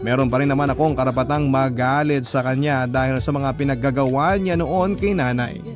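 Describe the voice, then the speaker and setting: A man's voice: mid-range voice, a little fast. A Filipino man narrating as a radio host, reading a first-person letter in an earnest, emotive storytelling style. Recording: music bed behind the voice.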